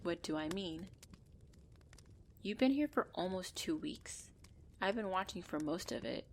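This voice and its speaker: A woman's voice: on the low side, lively intonation, unhurried, slight background noise. A woman voicing a possessive female centaur in an ASMR roleplay, bantering and commanding, with dry exasperation. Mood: firm, conversational. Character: possessive female centaur, bossy, teasing, half-gentle captor.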